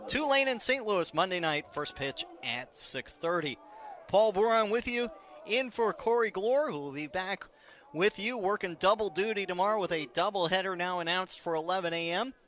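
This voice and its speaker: A man calling a live baseball game on the radio as play-by-play announcer, in clipped, descriptive phrases. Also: high voice. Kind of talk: live radio sports commentary.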